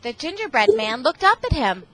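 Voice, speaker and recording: A woman reading a storybook aloud to children in a classroom, with animated, expressive storytelling. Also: high voice, normal speed. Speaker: reading tutor and visiting parent reading to a class. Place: school classroom. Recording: very noisy amateur classroom recording.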